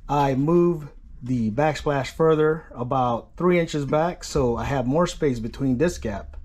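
A man's voice: medium pitch, slow pace, a little mellow. A man hosting a home workshop channel, narrating a casual hands-on tutorial. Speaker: host of a DIY machining channel.

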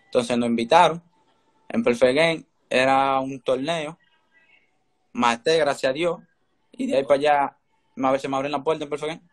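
A man's voice: mid-range voice, fairly even intonation, normal speed, pauses often. A teenage young man with a Dominican accent, giving casual answers as an interview guest on a video call. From Dominican Republic. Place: indoor room, remote video call. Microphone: video call, phone or laptop close in front.

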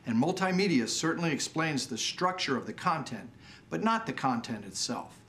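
A man in his sixties hosting with a formal, scripted, clearly worded presentation. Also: fairly high pitch, very animated, moderate pace, a bit bright, slight background noise. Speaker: awards show host. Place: indoor TV studio set.

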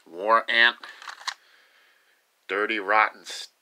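A young man of about twenty with a low voice talks in a casual, unscripted way. His delivery is offhand and halting, as if showing his things to the camera. It is recorded on a handheld phone with clear background noise. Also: little pitch movement, bright, forceful tone. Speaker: video maker showing a collection. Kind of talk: casual unscripted show-and-tell. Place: small cluttered room at home. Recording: noisy phone recording with clicks.

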